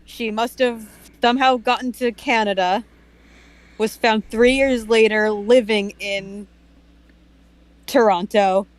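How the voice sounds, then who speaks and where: A woman's voice: on the high side, fairly even intonation, takes it slowly, pauses often, dry recording. A woman in a casual group discussion, co-commenting in a matter-of-fact, corrective way.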